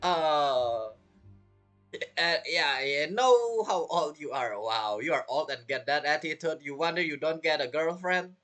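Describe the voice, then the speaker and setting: A man's voice: fairly high pitch, lively intonation, a little slow, a bit bright, faint music behind. A man giving casual, rambling gameplay commentary as a streamer, reacting jokily. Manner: joking, rambling reactions to the game. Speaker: gaming streamer.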